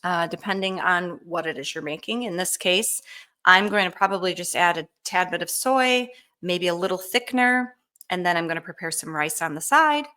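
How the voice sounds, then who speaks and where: A woman hosting a live canning Q&A, chatty and enthusiastic, with frequent ums and a slightly hoarse voice. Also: fairly low pitch, normal speed, pushed and bright. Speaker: canning cookbook author hosting a live show. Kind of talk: casual live Q&A cooking demo.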